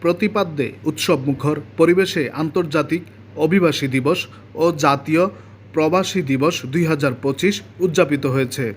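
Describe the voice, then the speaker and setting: A male news correspondent reads his report in a steady, formal voice-over. His voice sits a little high for a man. A faint hiss of noise runs under it.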